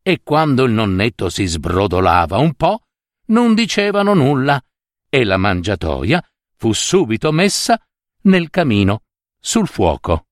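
A man telling a fairy tale as an expressive storyteller, with theatrical, deliberate phrasing and short pauses. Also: mid-range voice, dry recording, studio quality. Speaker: storyteller and adapter.

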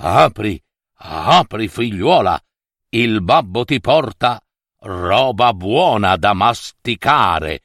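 A man's voice: on the low side, expressive, slow pace, a bit bright, no room sound, clean studio sound, heavily acted. Man voicing a huge hungry fairy-tale ogre. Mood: gruff, commanding. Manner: exclaiming, smacking relish, grumbling. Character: huge, hungry, gruff man-eating male ogre, a father.

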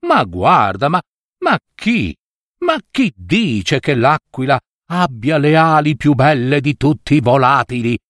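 A man voicing a sly, flattering fox with a wheedling, drawn-out manner. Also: mid-range voice, no room sound, clean studio sound, voice pushed up. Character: sly flattering vixen, sweet-talking and mocking.

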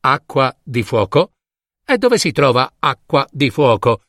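A man's voice: mid-range voice, sing-song, a little slow, a bit bright, dry recording, clean studio sound. A man voicing an eager old royal minister in a fairy tale, courtly, wheedling and inquisitive. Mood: bewildered.

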